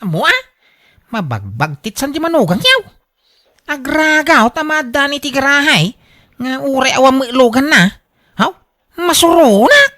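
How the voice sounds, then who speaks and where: A woman plays a blunt village mother-in-law in an Ilocano radio drama. She grumbles and exclaims in a sharp, highish voice that swoops dramatically, with a dry, close sound. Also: very animated, normal speed, long pauses, no echo, exaggerated voice. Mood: grumbling, mocking.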